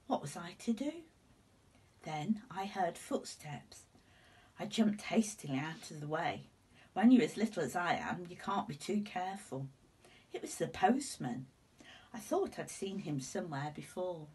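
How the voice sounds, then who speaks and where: A woman in her sixties reading a children's storybook aloud in an expressive, deliberate way. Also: on the low side, husky. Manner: deliberate, animated telling. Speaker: teacher reading a picture book to children. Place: indoors at home, seated before curtains. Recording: slightly distant room microphone.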